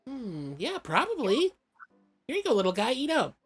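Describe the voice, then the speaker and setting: A woman voicing a feisty, stubborn young adventurer girl in an amateur game fan dub, sarcastic and quick to snap. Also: medium pitch, animated, faint music behind, lightly acted. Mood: friendly.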